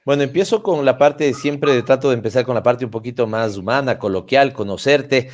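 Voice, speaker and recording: A man hosts a political talk show and interviews his guest. He speaks at an everyday middle pitch. He talks conversationally and keeps filling pauses with "eh". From Ecuador. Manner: warm conversational, with frequent "eh" fillers.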